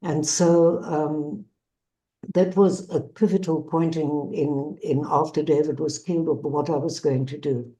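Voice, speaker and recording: An older woman with a South African accent gives a measured, earnest personal testimony as a bereaved mother and peace activist. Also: deep voice, fairly even intonation, warm tone, poor audio. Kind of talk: personal testimony to an online audience.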